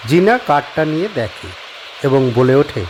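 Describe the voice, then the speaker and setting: Man reading a horror story, really animated pitch, faint hiss. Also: moderate pace, poor audio. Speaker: narrator. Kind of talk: scripted story narration.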